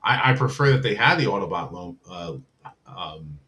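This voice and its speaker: Rambling, lower-voiced male streamer. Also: animated, normal speed, bright, forceful tone. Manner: rambling, heavy on fillers. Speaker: livestream host. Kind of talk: casual livestream chat. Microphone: computer mic on a livestream.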